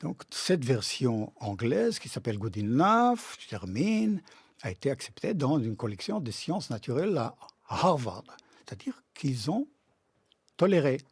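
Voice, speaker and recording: A man with a foreign accent explains his theory as a guest in a radio interview. His voice is rough-edged and swings widely in pitch, recorded dry and close, with emphatic, halting phrasing. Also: sing-song, no echo. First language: not French. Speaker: guest philosopher and author. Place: radio studio.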